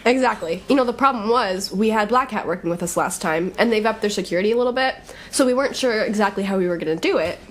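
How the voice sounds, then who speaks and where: A woman's voice: medium pitch, quick pace, close to an everyday voice. A young woman playing a playful, conscience-stricken young supervillain in an audio drama, talking in a casual, teasing way. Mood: casual, rationalizing. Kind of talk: naturalistic audio drama acting.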